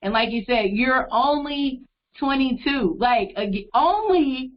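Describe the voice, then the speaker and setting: A woman hosts an interview over a video call, affirming her guest and reasoning through her points at length. Her voice sits a bit high for a woman. The sound is slightly dull. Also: expressive, slow pace. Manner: affirming, reflective, talks in long thoughtful runs. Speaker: radio interviewer. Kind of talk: interview conversation.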